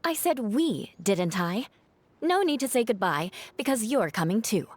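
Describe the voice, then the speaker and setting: A woman playing a kind, composed young adventurer in a video game, polite but gently firm. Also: a little high, very animated, unhurried, slight background noise. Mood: gently insistent.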